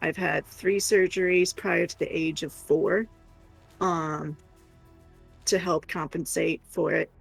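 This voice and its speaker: A woman's voice: lowish voice, steady tone, takes it slowly, gravelly, slightly rough, faint music behind, poor audio. A woman chatting casually and candidly as a livestream co-host, rambling informally.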